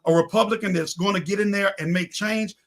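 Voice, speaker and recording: A man's voice: sits high, steady tone, fairly quick. A man speaking as a pastor and talk show guest, in impassioned talk on faith and politics, building his points in preacherly repeated phrases.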